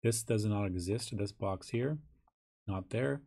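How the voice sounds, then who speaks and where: A hoarse, raspy man teaching a software tutorial in a casual way, thinking aloud with ums and restarts. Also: fairly low pitch, measured pace. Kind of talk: screen-recorded software tutorial.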